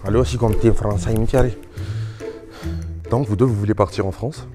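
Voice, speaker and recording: A man's voice: fairly low pitch, fairly even intonation, a little fast, music in the background, natural voice. A man playing a stern, sceptical official. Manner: formal, sceptical questioning. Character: stern official interviewing applicants.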